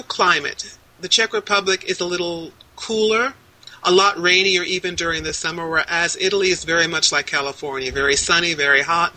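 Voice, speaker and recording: A woman in her sixties, answering interview questions over a video call in a measured, articulate way, listing details one after another. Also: low-pitched, bright, forceful tone, low-quality recording. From United States.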